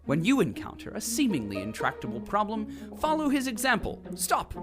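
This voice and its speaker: A woman's voice: mid-range voice, huge pitch swings, brisk. A woman voicing a cheery propaganda announcer who preaches in a sing-song way over music. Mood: preachy.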